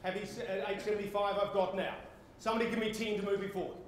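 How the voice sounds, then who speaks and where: A man's voice: high-pitched, fairly even intonation, quick pace. A man in his forties with an Australian accent, calling a live property auction in a theatrical, projecting, courteous manner. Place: on stage in a large indoor conference hall. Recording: echoey conference hall with noise.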